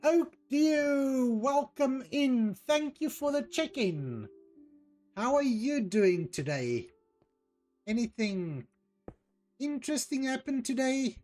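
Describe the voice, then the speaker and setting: Slow-talking Afrikaans-accented man. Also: extremely high pitch, very animated, a little mellow, soft background music. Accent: Afrikaans South African. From South Africa. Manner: unhurried, hesitant, with frequent ums while reading out chat. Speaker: streamer. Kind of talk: casual chat while playing a game.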